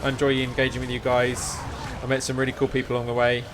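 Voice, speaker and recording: A man's voice: medium pitch, completely flat delivery, quick pace, noisy background. A man live streaming, chatting to his viewers in an informal, rambling, encouraging way. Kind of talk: casual chat with stream viewers.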